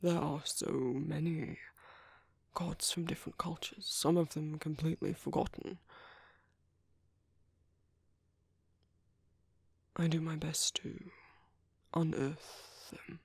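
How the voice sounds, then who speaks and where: Man playing a soft, breathy British vampire priest. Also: a little high, fairly even intonation, unhurried, no room sound, whispered, full character voice. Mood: wistful, reflective. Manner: hesitant, gently reassuring, formally polite. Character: gentle, courteous, caring male vampire priest. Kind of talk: ASMR roleplay, soft intimate whispery speech.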